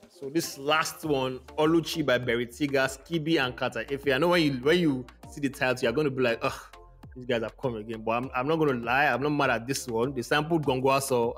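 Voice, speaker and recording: A man's voice: medium pitch, fairly quick, fairly bright tone. A man hosting a casual music review chat, speaking in an informal, slangy, opinionated way. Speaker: music podcast host.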